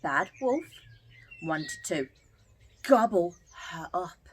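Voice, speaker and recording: A woman telling a story to young children as an entertainer, theatrical and expressive. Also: on the low side, extremely slow, a little hiss or hum.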